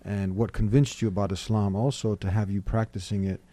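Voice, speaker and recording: A man hosting an interview, asking probing questions in a steady manner with "you know" fillers. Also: fairly low pitch, fairly quick. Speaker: interviewer, host. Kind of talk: casual interview talk.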